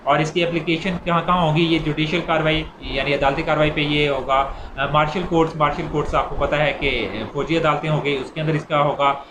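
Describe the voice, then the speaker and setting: Higher-voiced Pakistani man lecturing in echoey, noisy room. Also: steady tone, fairly quick, few pauses. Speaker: law lecturer. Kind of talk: explanatory lecture, informal and bilingual. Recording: echoey room, clear background noise.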